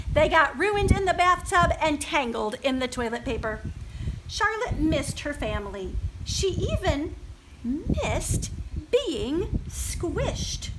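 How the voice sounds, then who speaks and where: A woman with a high, very animated voice reads a story to young children at an unhurried pace, over faint ambient noise. She is expressive, addresses the listeners directly and leans on dramatic pauses. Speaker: children's librarian reading at story hour.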